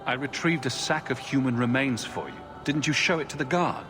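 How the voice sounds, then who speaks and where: An actor in a video game playing a cool-headed adventurer, direct, composed and to the point. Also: male voice, medium pitch, brisk, music in the background, natural voice. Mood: questioning.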